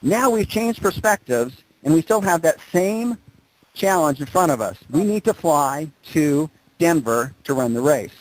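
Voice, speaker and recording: Husky-voiced male motivational speaker, very animated. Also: a little high, measured pace, rough recording. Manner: emphatic, repeats phrases for stress; informal, conversational storytelling. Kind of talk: motivational presentation to an audience.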